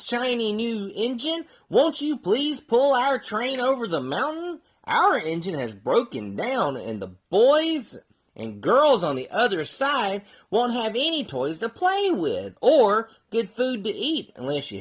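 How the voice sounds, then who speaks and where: A plaintive little storybook toy voiced by a performer begs for help. The pleading leaps high and then falls away, slow and very animated. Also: full character voice. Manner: imploring, plaintive, swooping. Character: pleading dolls and toys begging for help. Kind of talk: children's storybook characters.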